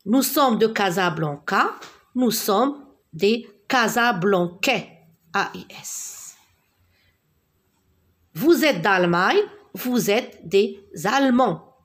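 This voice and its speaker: A woman's voice: medium pitch, expressive, drawn-out words, pauses often. A woman with a Moroccan accent teaching a primary school French lesson, repeating words and spelling out letters one by one.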